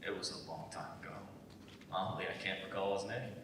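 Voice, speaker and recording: A low, bright-edged voice in a very echoey room, a man playing a haunted war veteran. He speaks in a guarded, measured, brooding manner, as in a staged drama reading. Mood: somber, brooding. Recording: very echoey, distant, low rumble.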